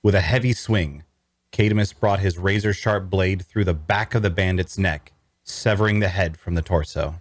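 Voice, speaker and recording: A low-pitched, closely recorded man performing as a wry necromancer storyteller. He narrates a dark fantasy audio drama in dramatic first person, sounding queasy and sardonic. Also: moderate pace, no room sound, studio quality. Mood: grave narrating. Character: wry, queasy male necromancer telling his own tale.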